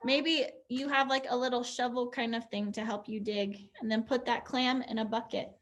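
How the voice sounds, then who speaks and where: A woman's voice: a little high, steady tone, normal speed. A young woman teacher leading a pantomime activity online, upbeat and encouraging as she explains each step.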